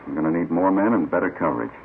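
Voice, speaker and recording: An actor playing a stern police superior in a 1950s radio crime drama, an American man with a brisk, authoritative, no-nonsense delivery. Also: low voice, animated. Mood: businesslike. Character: stern police superior running a robbery detail. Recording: tinny, faintly noisy old radio recording.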